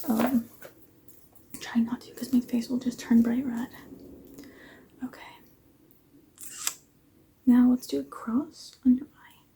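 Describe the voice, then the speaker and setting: A tired woman whispering softly through an ASMR face-measuring roleplay, yawning now and then and apologizing often. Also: a little high, level tone, slow, gravelly, long pauses, slight background noise. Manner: soft whispering, apologetic asides.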